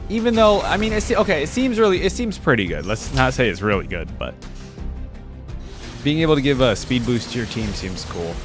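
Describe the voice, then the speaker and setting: A man's voice: medium pitch, sing-song, brisk, music in the background. A man hosting a reaction video with casual, conversational commentary, thinking aloud and qualifying his opinions as he goes.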